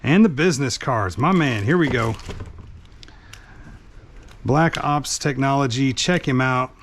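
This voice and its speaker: A man's voice: mid-range voice, animated, measured pace, fairly bright tone. A man giving an informal, hands-on how-to, talking through the task as he works. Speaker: vlogger, truck driver. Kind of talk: casual hands-on how-to narration. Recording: background noise while working.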